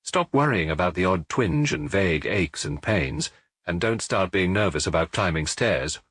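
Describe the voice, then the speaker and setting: A man voicing a brisk, frank family doctor who reassures his friend in an audiobook. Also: deep voice, expressive, a bit bright, slightly rough, dry recording, clean studio sound, voice pushed down, heavily acted. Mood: firm. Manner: brisk, reassuring, lightly teasing.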